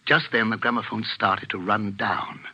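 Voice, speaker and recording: An actor playing a middle-aged English village vicar in a radio mystery, speaking with measured, commanding earnestness in an English accent. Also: male voice, lowish voice, fairly even intonation, bright, forceful tone, husky, dull audio, exaggerated voice. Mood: grave, intense. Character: grave, authoritative English village vicar, a father. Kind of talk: 1940s radio mystery acting.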